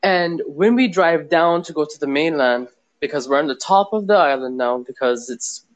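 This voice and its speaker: A man's voice: fairly high pitch, normal speed. A man calling in to a casual chat, sick with a sore throat and draining sinuses, explaining in a rambling, roundabout way. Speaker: caller.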